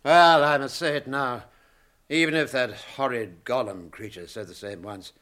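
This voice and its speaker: A man's voice: mid-range voice, normal speed, a bit bright, natural voice. A British man playing a fussy, testy old hobbit in a radio drama, chatty and prickly in manner. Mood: possessive, defensive.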